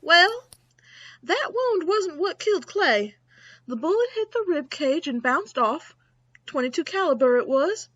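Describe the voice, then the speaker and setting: A woman's voice: very high-pitched, big pitch swings, a little slow, fairly bright tone, dry recording, dull audio, exaggerated voice. A woman playing a wry, matter-of-fact frontier town doctor in a Western radio drama, with a clinical manner.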